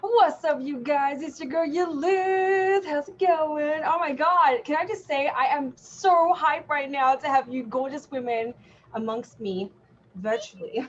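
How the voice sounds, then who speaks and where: A woman's voice: sits high, measured pace, lo-fi sound. An Australian woman chatting casually and effusively as a guest on an online show. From Melbourne, Australia. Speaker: podcast guest, cosplay artist. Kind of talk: casual chat. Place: remote online call.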